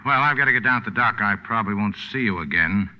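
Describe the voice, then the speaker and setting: Guy doing a tough deadpan skipper, few words, lowish voice, 1940s radio play, muffled sound. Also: fast talker, in a large hall, lightly acted. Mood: terse, cool. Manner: terse, deadpan. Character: tough, laconic mercenary male boat skipper. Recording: dull 1940s radio recording.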